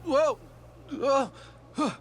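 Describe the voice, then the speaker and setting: A man's voice: very high voice, drawn-out words, noisy background, full character voice. An actor playing an earnest, determined and loyal male fox adventurer in an audio drama. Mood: bewildered.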